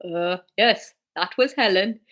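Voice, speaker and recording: A woman's voice: medium pitch, takes it slowly, a bit bright. A woman voicing a nervous, flustered married man in a story, hesitant, with a put-on Geordie accent. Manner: hesitant, stammering.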